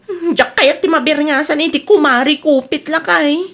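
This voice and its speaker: A woman's voice: sits high, moderate pace, a bit bright. A woman playing a sly, evasive market vendor who whines and protests in a comedy drama. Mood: aggrieved. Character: sly, cheating market vendor wife, evasive when accused.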